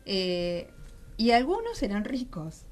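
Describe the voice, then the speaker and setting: A woman's voice: medium pitch, wildly swooping pitch, slow pace, a little mellow. Argentine woman, enthusiastic radio guest. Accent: Argentine Rioplatense. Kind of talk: conversational interview, explaining history and craft. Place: radio studio.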